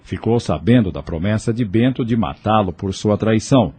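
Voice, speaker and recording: A man with a husky, mellow voice narrating an audio novel as a dramatic scripted storyteller. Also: fairly low pitch, animated, normal speed.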